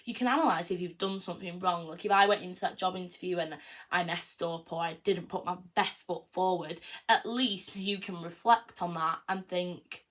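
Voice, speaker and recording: A woman's voice: fairly low pitch, steady tone, normal speed, fairly bright tone. Chatty young woman podcasting. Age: early 20s. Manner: chatty, frank and self-affirming, full of "like" and "you know what I mean". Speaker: podcast host. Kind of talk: casual solo podcast monologue.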